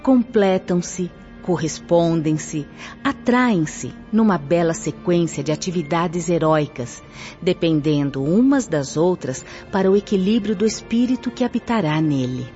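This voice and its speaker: Actress as a mellow, solemn spirit teacher. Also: female voice, lowish voice, expressive. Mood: solemn, reverent. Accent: Brazilian. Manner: reverent, declamatory, measured. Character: Rosália, solemn gentle female spirit teacher instructing pupils. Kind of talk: Brazilian radio drama acting. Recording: dull lo-fi audio under music.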